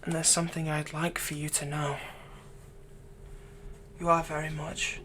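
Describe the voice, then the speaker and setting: Guy doing a gentle possessive fantasy villain, soft breathy asmr comforting, some background noise. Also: fairly high pitch, completely flat delivery, a little slow. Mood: soothing, possessive. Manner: soft, soothing, often dropping to a breathy whisper. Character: possessive young male fantasy villain, tenderly soothing his captive. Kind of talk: ASMR roleplay, intimate scripted monologue.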